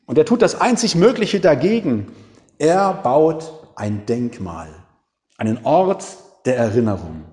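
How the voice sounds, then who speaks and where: A man preaching a reflective sermon, with dramatic pauses, rhetorical questions and emphatic single words. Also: mid-range voice, sing-song, darkish tone, long reverb tail. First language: German.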